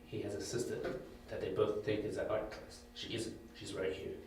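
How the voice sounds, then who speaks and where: A man acting a smug, ruthless crime boss who speaks with deliberate menace in an amateur audio drama. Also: on the low side, completely flat delivery, darkish tone, natural voice. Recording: very echoey, distant, faint noise.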